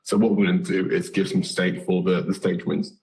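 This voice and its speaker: A British man with a very low voice, hoarse and slightly nasal as he gets over the flu. He walks through a coding lesson in a relaxed way, filling pauses with ums and correcting himself as he goes. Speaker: coding instructor. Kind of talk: live coding tutorial.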